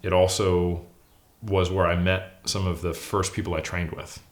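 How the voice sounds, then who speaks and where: A man's voice: sits low, flat delivery, moderate pace. A man of forty with an American accent, talking casually and candidly about himself as an interview guest, with a self-deprecating manner. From California, United States. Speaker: interview guest, bodybuilder and academic. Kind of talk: casual interview, personal storytelling.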